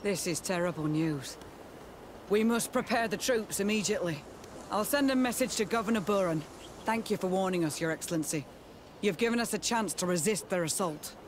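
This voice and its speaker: A man's voice: high-pitched, brisk, slight background noise, natural voice. A man playing a dutiful, respectful army captain in a video game, with formal military address. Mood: alarmed, grateful. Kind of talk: scripted video game cutscene dialogue.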